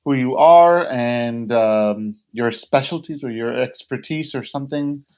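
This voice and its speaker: A man's voice: medium pitch, a little slow, clear, resonant tone, dull audio. A man co-hosting a therapy podcast, talking in a friendly, rambling way with laughs. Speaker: podcast co-host, doctoral candidate. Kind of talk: casual podcast chat.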